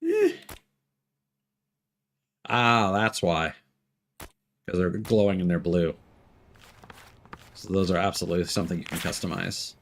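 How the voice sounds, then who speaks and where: Low-pitched, animated male game streamer. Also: measured pace, gravelly, long pauses, slight background noise. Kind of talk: casual live gameplay commentary. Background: music behind the voice.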